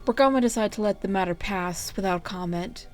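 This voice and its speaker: A woman narrates a scripted story at a middle pitch. She reads the prose aloud as a storyteller, with music faintly behind her. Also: fairly quick, a little mellow.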